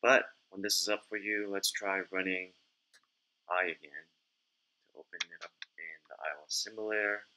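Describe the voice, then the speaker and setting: A man's voice: sits low, normal speed, slight background noise, rough recording. A young man casually talking through a coding tutorial at his desk, explaining each step as he types. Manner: talks through steps while typing, relaxed and informal. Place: indoors at a desk, small room.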